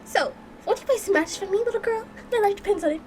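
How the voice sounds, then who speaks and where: A woman's voice: very high voice, fast talker, darkish tone, a little hiss or hum, pitched above the performer's own voice. A woman voicing a manipulative young match peddler who begs melodramatically in a whiny, tearful voice, with music behind. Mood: tearful begging.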